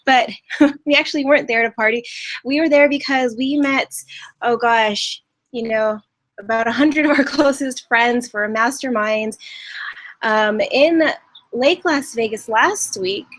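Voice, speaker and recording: A woman's voice: fairly high pitch, measured pace, a bit bright. A woman in her thirties from California, hosting a live online training, chatting in an upbeat way with many fillers and restarts from a family home. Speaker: online business host. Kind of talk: live-streamed training talk.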